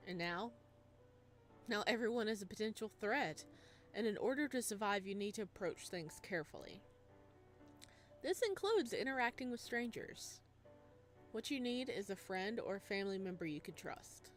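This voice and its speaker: A woman gaming streamer reading a visual novel aloud, with expressive narration and playful, casual asides. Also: medium pitch, moderate pace, long pauses, faint music behind.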